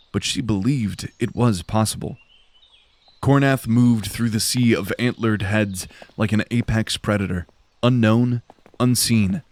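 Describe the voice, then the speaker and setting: A man's voice: lowish voice, a little mellow, no echo. A man reading scripted, dramatic narration for a fantasy audio drama.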